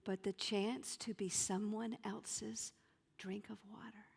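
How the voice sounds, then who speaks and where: A woman with a Southern US accent telling comic stories on stage in a folksy, dramatic manner with pauses for effect. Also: medium pitch, level tone, vocal fry, darkish tone, husky. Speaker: comedian and storyteller. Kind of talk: theatrical comic storytelling to an audience. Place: theater stage.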